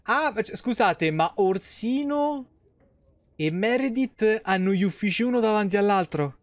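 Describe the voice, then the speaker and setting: A man with a fairly high voice and a Roman accent chats through a live game stream. He thinks aloud in colloquial, salty language. The sound is dry and close to the microphone. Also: animated, measured pace, no echo. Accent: central Italian, Roman. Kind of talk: casual live gameplay commentary. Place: indoor streaming setup at home.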